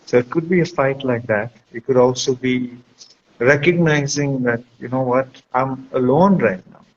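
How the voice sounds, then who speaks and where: A man's voice: mid-range voice, normal speed. A man speaks as a guest counselor, giving reflective, conversational advice and often checking in with the listener. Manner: reflective, explanatory, checks with "right?". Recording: dull lo-fi audio with clear background noise.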